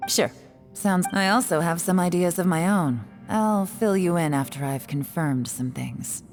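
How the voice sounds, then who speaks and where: Low-voiced, shrewd confident agent. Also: female voice, unhurried, darkish tone, soft background music. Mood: assured. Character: confident, shrewd, cool-headed woman agent. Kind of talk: video game voice acting.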